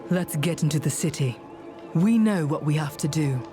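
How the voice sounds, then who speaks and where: A woman's voice: low-pitched, lively intonation, normal speed, over music. A woman playing a resolute outcast hero in a fantasy video game, matter-of-fact and dry-witted. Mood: determined. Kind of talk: video game dialogue acting.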